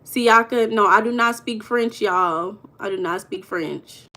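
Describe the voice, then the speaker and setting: An American woman from Los Angeles hosts a live stream and chats casually with her viewers. She teases and banters in loose slang. Her voice sits at an ordinary middle pitch. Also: unhurried, bright, forceful tone. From Los Angeles, USA. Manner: playful, slangy, teasing banter with chat. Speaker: livestream host. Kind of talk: casual live chat reading viewer comments.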